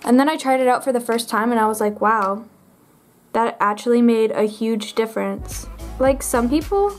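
Chatty teen girl, high voice. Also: expressive, normal speed, soft background music. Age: teens. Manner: chatty, bubbly, lots of "like". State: getting over a cold. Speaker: beauty vlogger. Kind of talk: makeup tutorial chat. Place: bedroom vanity, indoors.

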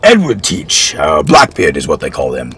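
A man reading aloud, voicing a scheming older English lord who speaks slowly and coldly. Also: huge pitch swings, fairly bright tone, breathy edge, heavily acted. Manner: slow, low and cold.

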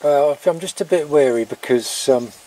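A middle-aged man in a casual, rambling vlog, talking haltingly outdoors on a farm into a handheld camera. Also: a little high, warm tone, low-quality recording. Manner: halting, breaking off to talk to animals. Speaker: farm vlogger. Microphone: handheld camera at arm's length.

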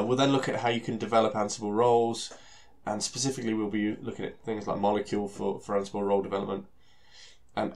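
A man with a British accent and a head cold that makes him sound nasal and stuffy, presenting a technical talk in a relaxed way, full of fillers and repeated words. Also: on the low side. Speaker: solution architect giving a technical talk.

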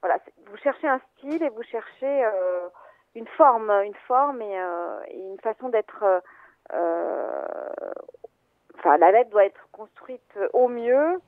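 A woman's voice: a little high, expressive, slow pace, no echo. A woman, a film editor being interviewed, explaining her craft in a hesitant, thoughtful way with many fillers and restarts, heard over a phone line.

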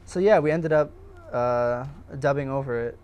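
A man's voice: medium pitch, moderate pace, a little mellow, smooth. Casual, self-deprecating young man. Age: young adult. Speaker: student filmmaker being interviewed. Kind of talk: casual interview answers.

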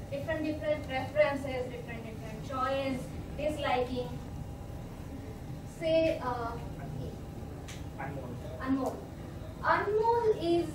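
A young woman, hosting a workshop meetup and explaining a group game to volunteers, with many fillers. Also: high voice. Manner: speaks slowly with many fillers, explaining patiently. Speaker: meetup facilitator. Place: hotel meeting room, indoors. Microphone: far camera. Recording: echoey room from far off, noisy.

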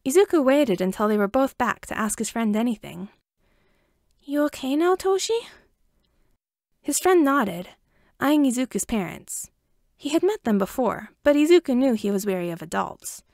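A woman reads a story aloud in a fairly high voice with plenty of fry in it. She narrates from a script. The recording is very dry. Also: big pitch swings, moderate pace, a little mellow, no room sound. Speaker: amateur audiobook reader.

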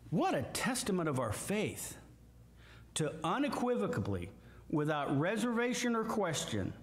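An older man with a Midwestern American accent, a guest preacher giving a slow, emphatic sermon with scripture readings from a church lectern. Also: sits high, huge pitch swings. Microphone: lectern mic, far camera. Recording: echoey church hall from far off.

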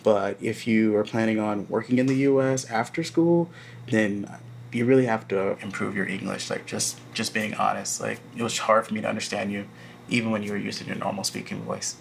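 A man's voice: fairly low pitch, a little fast, vocal fry, a little hiss or hum. An American man in his thirties playing a blunt, earnest graduate teaching assistant instructing a class in a casual, plain-spoken way. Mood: blunt, hesitant. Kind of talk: classroom instruction, acted dramatization. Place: classroom lab, indoors.

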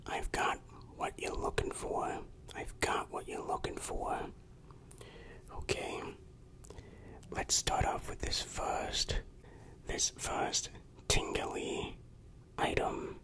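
Slow breathy whispering asmr roleplay, shady secretive dealer type, crisp and bright. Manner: slow, hushed, secretive delivery with repeated phrases.

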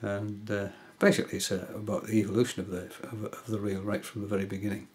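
An older man at home giving a hesitant, casual tutorial, often pausing with fillers as he shows his reels. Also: fairly low pitch, little pitch movement, slightly rough. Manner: hesitant, many fillers and restarts. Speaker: angling hobbyist giving a tutorial. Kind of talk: casual explanatory demonstration. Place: indoors, small room at home.

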